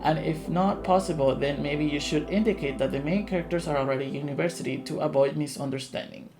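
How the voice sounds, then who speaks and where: A man's voice: fairly high pitch, fast. A man hosting a casual live Q&A as a game developer, playful and self-deprecating. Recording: background guitar music. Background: guitar music.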